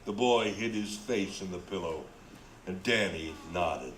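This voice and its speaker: A man's voice: sits low, unhurried, a bit bright. A man reading scripted narration for a radio play. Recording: roomy, faint background noise.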